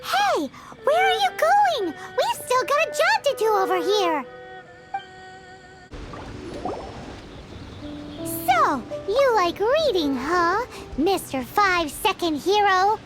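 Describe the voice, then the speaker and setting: Tiny game fairy, extremely high squeaky childlike voice, animated delivery, bossy, easily annoyed. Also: female voice, unhurried, heavily acted. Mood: nagging. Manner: whiny, indignant, third-person self-reference. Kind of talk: video game voice acting. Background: game music.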